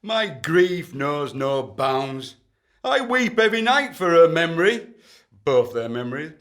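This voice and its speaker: An actor playing a pompous, pushy duke in a comic period radio play, wooing in florid, melodramatic gushes. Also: male voice, a little high, big pitch swings, measured pace, a bit bright. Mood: mock grieving.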